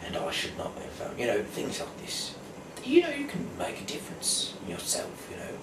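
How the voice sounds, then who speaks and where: A man from the UK who speaks haltingly and stumbles over words as an amateur host and reader. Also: low-pitched, wildly swooping pitch. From United Kingdom. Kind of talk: casual rambling talk, then reading scripture aloud. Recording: echoey room, distant mic, clear background noise.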